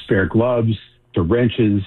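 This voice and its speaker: A man's voice: fairly low pitch, very slow, phone-quality sound. A seventy-year-old man giving casual expert explanations as a radio guest over a thin remote connection. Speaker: expert guest, journalist and author.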